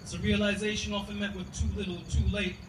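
A man's voice: high-pitched, level tone, fast talker. A man giving a spoken word poetry reading with a rhythmic, rhyming delivery. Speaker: poet. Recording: echoey room from far off, loud noise.

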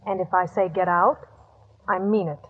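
Woman acting a husky, guarded ghost-town siren. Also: fairly low pitch, fairly even intonation, normal speed, lightly acted. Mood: curt, defensive. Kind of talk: 1950s radio drama acting. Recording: very muffled old radio recording.

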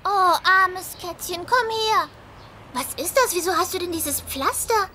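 A woman's voice: very high voice, a little slow, bright, forceful tone, slight background noise, full character voice. An actress playing a bubbly, scatterbrained, whining teenage schoolgirl in an anime audio drama. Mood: tender, curious. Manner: exclamatory, whining. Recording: music behind the voice.

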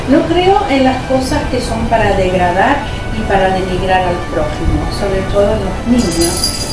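A woman's voice: a little high, roomy sound, recorded from a distance, low-quality recording. A middle-aged woman from Argentina with an Argentine Spanish accent, giving an earnest, teacherly devotional talk as host, reading scripture aloud. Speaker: host of a faith talk show. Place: small home studio. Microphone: desk microphone in front.

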